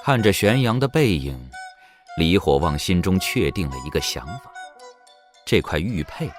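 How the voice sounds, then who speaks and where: Male narrator, lowish pitch, very expressive, dramatic audio drama storytelling, music behind. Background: background music.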